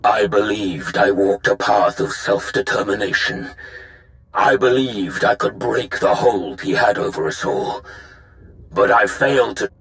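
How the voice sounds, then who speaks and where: An actor playing a brooding rebel Sith lord in a video game, orating gravely about power with a gravelly voice. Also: male voice, on the low side, a bit bright, poor audio. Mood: bitter brooding. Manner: slow, grave, oratorical. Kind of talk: dramatic video game monologue.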